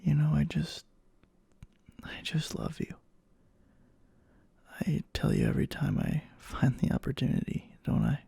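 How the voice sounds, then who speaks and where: A man playing a clingy, obsessively devoted boyfriend in an intimate ASMR roleplay, speaking haltingly in a breathy half-whisper. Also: on the high side, huge pitch swings, warm tone, no echo, heavily acted. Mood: tender, hesitant. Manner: halting, slow, hesitant.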